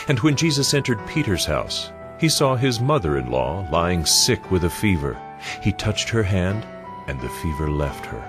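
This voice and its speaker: A man narrating scripture from a script in an audio drama. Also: low voice.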